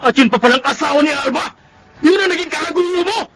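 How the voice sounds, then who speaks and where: Wounded Filipino husband, high-pitched, dramatic. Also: male voice, fast, background noise. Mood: hurt, furious. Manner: emphatic, accusing, dramatic. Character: betrayed, hardworking Filipino husband, bitter and wounded. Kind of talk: melodramatic radio-style drama acting. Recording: dramatic music behind the voice.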